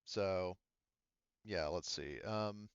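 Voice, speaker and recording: Halting, smooth-voiced man running a tabletop game. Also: low voice, no room sound, lo-fi sound. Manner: casually profane; halting, searching for words as they go. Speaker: game master of a tabletop roleplaying game. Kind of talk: improvised, conversational game narration.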